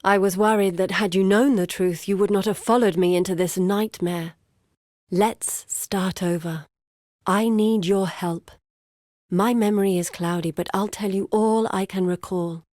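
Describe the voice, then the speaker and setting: An actress voicing an earnest, guarded woman explorer in a video game, speaking in a measured, grave and deliberate way. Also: mid-range voice, steady tone, a little mellow, no echo, clean studio sound, close to an everyday voice. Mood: confessional, earnest. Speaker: video game character voice actor. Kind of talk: scripted game character dialogue.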